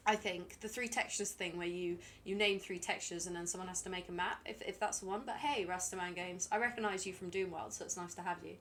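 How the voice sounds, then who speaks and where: A woman's voice: fairly low pitch, fairly even intonation, fast talker, hardly any pauses, a bit bright, slight background noise. A British woman streaming a game, talking to herself and chatting to viewers in a dry, rambling way. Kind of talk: live gameplay commentary.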